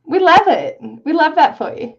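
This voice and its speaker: A woman co-hosting a livestream, chatting casually in an emphatic, expressive way. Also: high-pitched, normal speed, lo-fi sound. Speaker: co-host of a live reading sprint stream.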